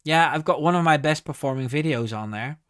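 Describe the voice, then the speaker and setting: A man's voice: on the high side, fairly quick, fairly bright tone, smooth, no room sound, studio quality. A man hosting a casual live stream, reading viewer comments aloud and answering with many ums and restarts.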